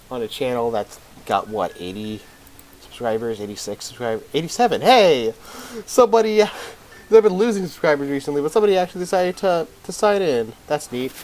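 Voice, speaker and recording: A man's voice: mid-range voice, low-quality recording. Young man streaming, voice off from illness. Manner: rambling, self-deprecating, restarts words. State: voice slightly off after a recent illness. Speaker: game streamer. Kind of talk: casual livestream chat. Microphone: headset mic.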